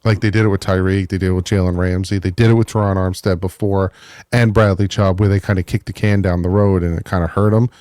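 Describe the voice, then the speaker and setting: A man talking football in a casual podcast chat, animated and exasperated, slipping into mocking voices. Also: low voice, fast, dry recording, studio quality. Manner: animated, mocking the front office in put-on voices. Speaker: guest fan analyst.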